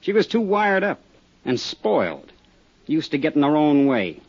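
A man's voice: on the high side, big pitch swings, unhurried, a little mellow, breathy edge, heavily acted. A man playing a tough, cagey small-town roadhouse owner with an American accent in a 1950s radio drama, talking in defensive, streetwise slang. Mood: exasperated. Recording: dull 1950s radio recording.

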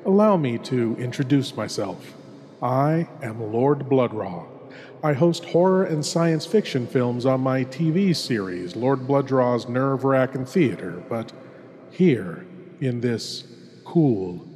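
A man performing as a suave, sinister lord who hosts horror shows, with theatrical, intimate, deliberate narration. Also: medium pitch, dark tone. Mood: silky, welcoming menace. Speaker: show host. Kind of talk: scripted horror-host narration. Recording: long hall reverb.

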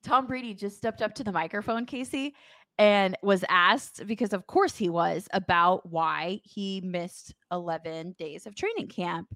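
A woman co-hosting a sports podcast in casual post-game chat, speaking in an animated, emphatic way. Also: medium pitch, measured pace, no echo.

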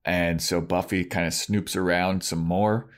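A man's voice: sits low, fairly even intonation, unhurried. A man co-hosting a podcast, casually recapping a story in an informal way and quoting the characters as he goes. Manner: informal retelling with acted-out quotes. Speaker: podcast co-host. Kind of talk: casual chat recapping a TV episode.

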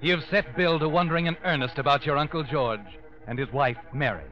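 An actor playing a sinister, all-knowing storyteller in 1940s radio suspense, sardonic and needling. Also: male voice, on the high side, a little fast, natural voice. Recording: dull old radio recording. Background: dramatic music.

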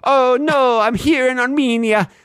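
Bit voice: a man doing a shrill, overdone fake accent, dry close mic. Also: fairly bright tone, clear, resonant tone, no room sound. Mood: mock-lamenting. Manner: high, exaggerated, drawn-out delivery. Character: man doing a mock foreign accent, excitable.

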